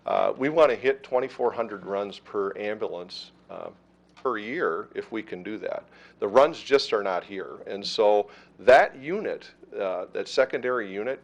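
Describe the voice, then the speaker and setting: A middle-aged man who runs an ambulance service, answering questions at a council meeting in a steady, reassuring way with frequent fillers. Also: lowish voice, gravelly.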